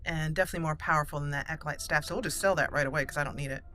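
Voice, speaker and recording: Bright-voiced woman doing game commentary. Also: low voice, rapid-fire, slight background noise. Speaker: gaming channel host. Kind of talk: roleplay let's play commentary.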